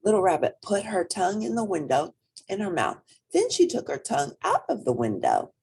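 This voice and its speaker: A woman's voice: medium pitch, huge pitch swings, brisk, gravelly, darkish tone, slight background noise. A woman reading a children's storybook aloud expressively, speaking directly to young listeners. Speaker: storybook reader.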